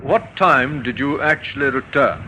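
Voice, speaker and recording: An actor playing a courteous, methodical police inspector who questions briskly in a vintage radio drama. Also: male voice, medium pitch, sharp tone, lightly acted, rough recording. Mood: brisk questioning. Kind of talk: 1950s radio drama acting. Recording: old radio recording with faint noise.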